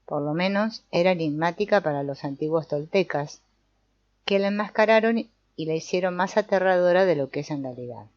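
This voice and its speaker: A woman voicing an old male sorcerer teacher, calm and didactic, speaking in a measured, explanatory way with the odd small restart. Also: on the low side, dry recording, close to an everyday voice. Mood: explaining. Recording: dull, muffled audio.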